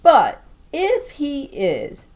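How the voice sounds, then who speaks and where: A woman's voice: high-pitched, wildly swooping pitch, drawn-out words, darkish tone, voice pushed up, full character voice. Woman reading as a weary, firm young mother. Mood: guarded, weary. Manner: guarded, mildly ordering.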